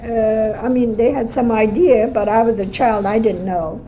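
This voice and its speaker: An older woman in her seventies, born in Armenia, slowly recalling her life in a home interview, with many pauses and fillers. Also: fairly high pitch, steady tone, dark tone. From Armenia (Erzurum, Turkey). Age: 70s. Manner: slow, halting storytelling with fillers. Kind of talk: oral history interview. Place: home kitchen. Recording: lo-fi home cassette tape with faint hiss.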